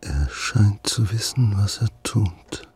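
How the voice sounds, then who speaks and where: An actor playing an anxious patient in halting, hushed inner monologue in a radio drama. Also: male voice, fairly low pitch, lively intonation, breathy edge, exaggerated voice. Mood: wary doubt. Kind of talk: German radio drama acting.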